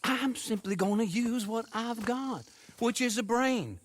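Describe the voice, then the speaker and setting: An actor playing a pompous, wheedling older idler in a western video game, wordy and theatrical. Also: male voice, extremely high pitch, unhurried. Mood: mock offended.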